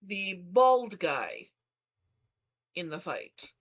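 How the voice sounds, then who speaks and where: Polite woman roleplayer, mid-pitched. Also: big pitch swings, drawn-out words. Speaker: tabletop game player. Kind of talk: casual in-character questioning.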